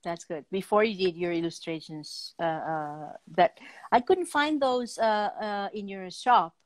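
Halting middle-aged woman hosting. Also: fairly low pitch, animated, dry recording. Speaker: talk show host. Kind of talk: casual video interview, asking questions. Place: room at home, video call.

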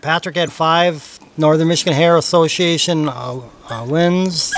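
A man's voice: on the high side, steady tone, unhurried, background noise. A middle-aged man chiming in with short remarks about his dogs outdoors in snowy woods, heard a little off-mic. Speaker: beagle owner.

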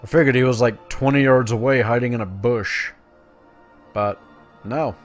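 A man's voice: mid-range voice, moderate pace. A man giving casual video game commentary, reading quest text and adding wry, offhand asides. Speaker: gaming video creator. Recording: game music behind the voice. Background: video game music.

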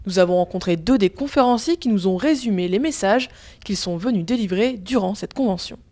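A woman's voice: medium pitch, fairly quick, warm tone. A woman reporter reading scripted narration for a news report.

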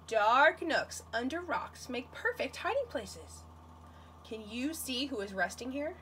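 A woman's voice: sits high, big pitch swings, measured pace, a bit bright, slight background noise. A woman reading a picture book aloud to young children as their teacher, expressively, often putting questions straight to them.

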